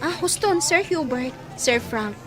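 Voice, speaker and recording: A woman's voice: high voice, animated, a little slow, over music. A woman with a Filipino Ilocano accent playing a modest, polite young maid in a radio drama. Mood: demurring.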